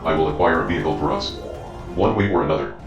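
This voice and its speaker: Audio-drama role, male actor: literal, determined machine protector, deep creaky voice, stilted delivery, loud music behind. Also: fast talker, echoey room, highs cut off. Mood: deadpan. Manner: stilted, formal, deadpan. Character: stiff, formal, literal-minded machine-like bodyguard, bluntly determined. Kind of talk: scripted audio drama acting.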